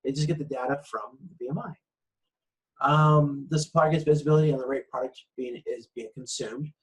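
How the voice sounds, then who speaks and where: A man lecturing in an informal, conversational way, explaining things a little haltingly. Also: medium pitch, fairly even intonation, low-quality recording.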